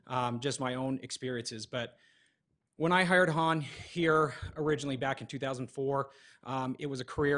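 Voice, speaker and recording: A man in his thirties, a firefighter, speaking earnestly but haltingly at a podium mic in a council chamber. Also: medium pitch, fairly bright tone. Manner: earnest, halting with many uhs. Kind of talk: public comment.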